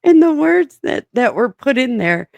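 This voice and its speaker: A woman's voice: high-pitched, very animated, unhurried, gravelly, a little mellow, no echo. A woman with a smoky voice chatting casually as a podcast host, self-mocking and laughing often. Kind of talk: casual chat.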